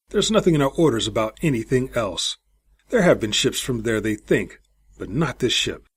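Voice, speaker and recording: An old space station captain, acted out by a male narrator, sly and eager. His husky voice snaps and commands, swinging widely in pitch as he savors each phrase in this audiobook tale. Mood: impatient, scheming. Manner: snapping, commanding, relishing his words. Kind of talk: audiobook character voice.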